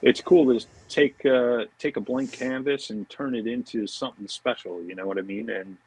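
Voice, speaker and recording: A man's voice: mid-range voice, moderate pace, darkish tone, slightly rough, low-quality recording. An American man talking casually as an interview guest, rambling informally and often dropping in "you know" and "man". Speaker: podcast guest, fishing products marketing director.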